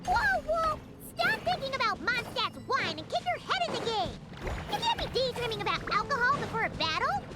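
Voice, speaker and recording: A woman voicing a small, excitable, bossy fairy-like girl companion in an anime game, with a squeaky childlike voice. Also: fairly quick. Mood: exasperated. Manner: scolding, exclaiming, refers to herself by name. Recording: loud music under the voice.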